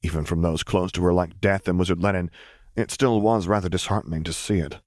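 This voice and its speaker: A man's voice: sits low, fairly even intonation, brisk, a little mellow, no echo, studio quality. A man reading scripted fiction as an audiobook narrator.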